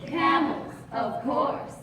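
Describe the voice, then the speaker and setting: A woman's voice: high-pitched, expressive, extremely slow, distant mic, exaggerated voice. A woman playing a cool, alluring servicewoman in a 1940s radio cigarette commercial, recorded on a noisy, roomy stage. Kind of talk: 1940s radio advertising sketch. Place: on stage in an opera house, live.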